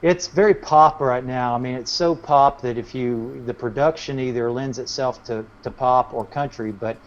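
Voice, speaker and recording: A man's voice: mid-range voice, normal speed. A man speaking as a country musician in a casual interview, explaining things in a rambling way. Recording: muffled, lo-fi audio with faint noise.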